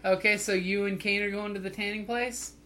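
A woman's voice: mid-range voice, steady tone, a little fast, sharp tone, a little hiss or hum. A woman narrating a tabletop roleplaying game as game master in a playful, teasing way. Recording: music behind the voice.

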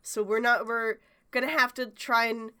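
A woman's voice: fairly high pitch, flat delivery, normal speed, bright, forceful tone, smooth. A young woman with a stuffy, congested voice from a cold, co-hosting a podcast in a chatty, self-mocking way with casual swearing. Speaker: podcast co-host.

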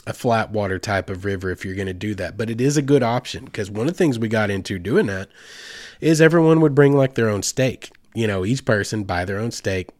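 A man's voice: fairly low pitch, brisk, a little mellow, no echo, clean studio sound. A man congested with a head cold gives casual, rambling instructional talk as a host, in a folksy, informal way with slang. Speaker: host giving outdoor trip advice.

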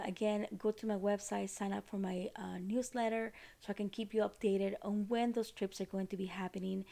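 A woman's voice: mid-range voice, gravelly, dark tone. A woman from Costa Rica with a Costa Rican Spanish accent, hosting a podcast and explaining things in a rambling way with many fillers and restarts. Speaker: podcast host.

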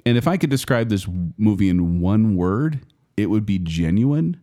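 A grown man co-hosting a casual movie review podcast, animated and quick to laugh. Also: on the low side. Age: adult. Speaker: podcast co-host.

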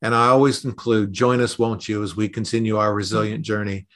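Measured man in a podcast interview. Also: lowish voice, little pitch movement, fairly bright tone. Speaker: podcast host being interviewed as a consultant.

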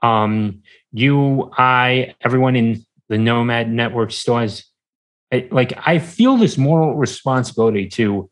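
Man, average pitch, halting delivery, searching for words, casual interview chat. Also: poor audio. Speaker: podcaster, interview guest.

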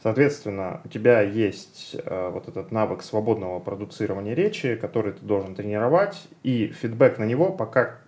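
A man's voice: on the low side, animated, a little fast, few pauses, a little mellow. A man from Russia, a podcast guest, talking in a casual, thoughtful way with many hesitations and fillers. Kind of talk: casual conversation.

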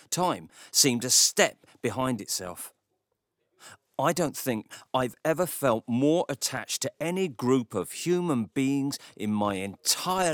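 Grumbling man, very animated. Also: medium pitch, no room sound, studio quality. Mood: reflective, uneasy. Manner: wry, grumbling, confiding storytelling. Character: grumpy, reserved Cornish male taxi driver, reluctant local hero. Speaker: actor in an audio drama. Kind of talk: audio drama monologue.

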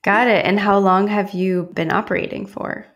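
A woman hosting a podcast, reading a measured scripted introduction and then asking thoughtful interview questions. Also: mid-range voice, fairly even intonation, a bit bright. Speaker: podcast host.

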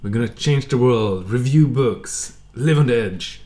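A man co-hosting a podcast in casual chat, explaining things in a halting, hesitant way. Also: mid-range voice, mellow. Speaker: podcast co-host.

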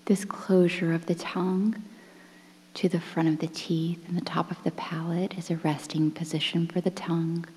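A woman guiding a relaxation meditation in a soothing, breathy, near-whispered voice with measured phrases and pauses. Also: lowish voice, level tone, a little mellow. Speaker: guided meditation instructor. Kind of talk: guided relaxation meditation, softly spoken. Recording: long reverberant hall-like echo.

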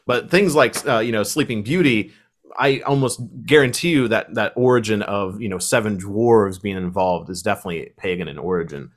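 A man's voice: on the low side, moderate pace. A man chatting casually as a podcast host, explaining things in an informal, conversational way. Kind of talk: casual chat.

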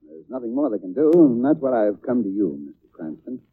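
An actor playing a worried, pleading theater owner in a 1940s radio drama. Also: male voice, medium pitch, very animated, a little fast, natural voice. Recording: hissy narrow-band old radio recording.

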